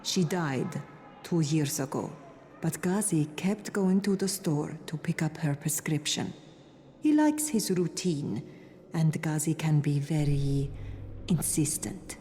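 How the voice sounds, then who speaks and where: A woman playing a worried, discreet medic in a game cutscene, speaking in a hushed, measured, confiding way. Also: sits low, animated, soft background music. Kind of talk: dramatic game cutscene acting. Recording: faint background noise.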